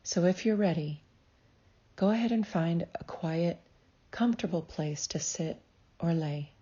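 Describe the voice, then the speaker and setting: A woman with a low, mellow voice guides a meditation at a very slow pace. Her delivery is soothing and measured, giving gentle instructions. The recording is slightly dull and muffled. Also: slightly rough. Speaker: psychologist leading a guided meditation.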